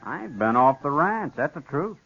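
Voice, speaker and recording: Sullen young western ranch son. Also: male voice, fairly high pitch, big pitch swings, normal speed, a bit bright, breathy edge, heavily acted. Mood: sullen, defensive. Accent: American Western. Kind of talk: 1950s radio western acting. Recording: dull old radio recording.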